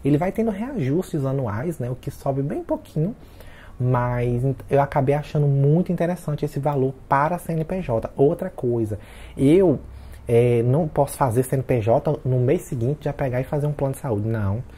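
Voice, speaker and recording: A chatty man in his late twenties talks casually to camera, addressing his viewers directly all the time. His voice is husky and breathy, with a warm, mellow tone. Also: mid-range voice, animated, moderate pace. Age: 20s. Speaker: vlogger.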